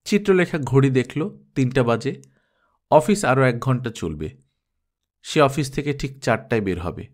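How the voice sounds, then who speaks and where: A man's voice: mid-range voice, lively intonation, measured pace, pauses often. A man narrating a story from a script as an audiobook reader.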